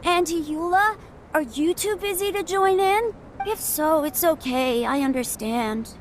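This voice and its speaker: A happy, polite little boy in a video game. The voice is very high and unhurried, with a shy sweetness and faint noise behind it. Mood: shy, hopeful.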